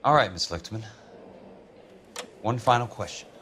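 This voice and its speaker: An actor playing a tough, skeptical American insurance investigator in a noir video game, brusque, dry and sardonic. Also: male voice, fairly low pitch, lively intonation, measured pace, fairly bright tone, faint music behind. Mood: wry, probing. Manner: blunt, dry, probing.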